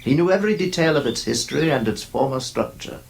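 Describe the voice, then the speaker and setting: An actor playing a reflective gentleman heir in a radio horror drama, narrating with formal, deliberate phrasing. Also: medium pitch, animated, vocal fry, poor audio. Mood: wistful, grave. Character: reflective gentleman heir restoring his accursed ancestral priory, sceptical. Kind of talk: old-time radio horror drama, literary first-person narration and dialogue.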